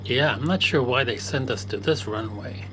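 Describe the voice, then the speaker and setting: A man giving relaxed, casual commentary while flying a flight simulator at his home desk, often correcting himself mid-sentence. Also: mid-range voice, moderate pace, noisy background. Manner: chatty, self-correcting, relaxed.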